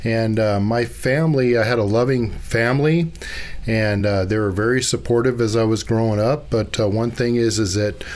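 A middle-aged man from Northern California, a podcast guest, talking earnestly and reflectively about his past with many pauses and fillers. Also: on the low side, fairly even intonation, darkish tone. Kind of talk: personal storytelling in an interview.

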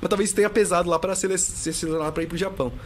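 A man in his thirties speaks as a podcast guest in a rapid, animated stream, full of restarts. His voice sits a bit high for a man, and his words tumble out quickly. A faint noise floor runs under the recording. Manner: fast, animated talk full of restarts and fillers. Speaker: guest, customs tax auditor. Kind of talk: casual podcast interview.

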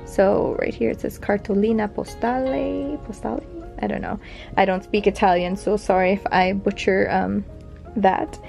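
Chatty young woman with a gravelly edge. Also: medium pitch, fairly even intonation, normal speed, faint music behind. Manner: chatty, rambling, fillers and soft laughs. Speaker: vintage collection vlogger. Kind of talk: casual show-and-tell narration. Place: home near a big airport.

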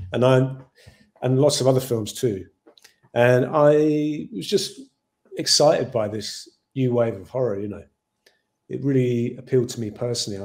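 English-accented man in his forties, mellow dark voice, thoughtful and rambling, over a video call. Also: medium pitch. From Ireland. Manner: thoughtful, rambling with many "you know" fillers. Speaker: film director. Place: home room with shelves.

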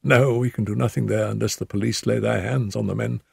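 Guy doing a beat-up detective in an audiobook, weak hoarse mutter, bit higher than his husky usual. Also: fairly even intonation, brisk, no echo, studio quality, exaggerated voice. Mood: weak, scheming. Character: injured male detective, weak and hoarse, wry. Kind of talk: audiobook character voice.

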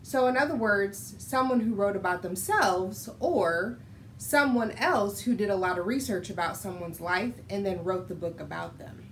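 An American woman in her thirties hosting a children's storytime, speaking in an instructive, expressive read-aloud style. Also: mid-range voice, normal speed, slight background noise. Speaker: children's storytime host and reader. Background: music.

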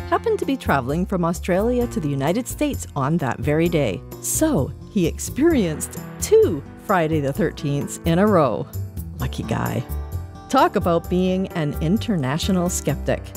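A woman's voice: lowish voice, a little mellow. A woman with a Canadian accent presenting a podcast segment, reading aloud with light asides.

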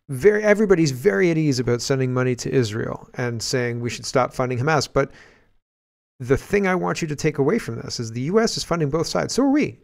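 A male podcast host talks into a large studio mic in a dark home studio. He rambles conversationally, starting over and correcting himself as he reacts to clips. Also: medium pitch, creaky. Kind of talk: commentary on clips. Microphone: large studio mic on boom.

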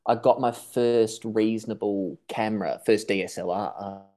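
A young man from New Zealand, raised in Tasmania, giving articulate, measured answers as a podcast guest over a video call. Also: on the low side, fairly even intonation, mellow. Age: young adult. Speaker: guest, seabird researcher. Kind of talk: conversational interview answers. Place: room at home, video call.

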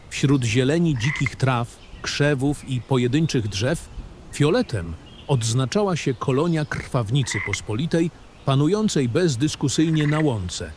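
A male narrator tells a fantasy story in a literary, first-person style. His mid-range voice moves through a lively, expressive intonation. Soft nature ambience plays faintly behind the reading. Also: medium pitch, background noise. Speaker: narrator of a fantasy audio drama.

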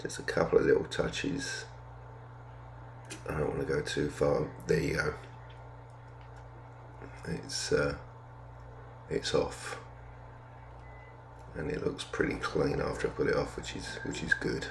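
An older man talking casually through a hobby vlog, explaining things in understated, hesitant, piecemeal phrases with a gravelly voice. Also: very deep voice, completely flat delivery, slow pace, pauses often, a little hiss or hum. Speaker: amateur radio hobbyist vlogger.

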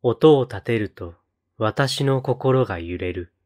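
A man reciting poetry in a solemn style, with measured, deliberate phrasing and pauses between lines. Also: mid-range voice, expressive, a little mellow. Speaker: poetry reader on a read-aloud channel.

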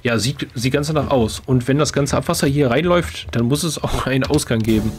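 A man voicing a wounded but determined young male captive in a fantasy game, halting and repeating words. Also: medium pitch, close to an everyday voice.

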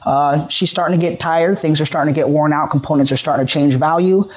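A man's voice: on the high side, fairly even intonation, fast. An American man in his thirties, a shop technician explaining and selling in a casual, salesman-like way. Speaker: radio repair shop technician. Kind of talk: casual product demo and shop pitch. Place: small cluttered radio repair shop.

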